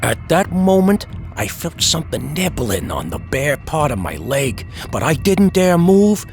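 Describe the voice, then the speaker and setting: Butch, lively streetwise horror storyteller acted by a man. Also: fairly high pitch, unhurried, faint music behind. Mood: tense, suspenseful. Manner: dramatic, wry asides, shifting emphasis. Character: Butch, streetwise man recounting his frightening boyhood night. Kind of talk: dramatic first-person horror storytelling.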